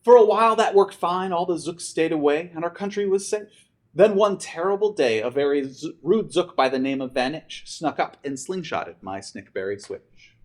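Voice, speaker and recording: A man's voice: on the high side, expressive, normal speed. A man, a teacher, reading a rhyming picture book aloud to students in a theatrical, emphatic way.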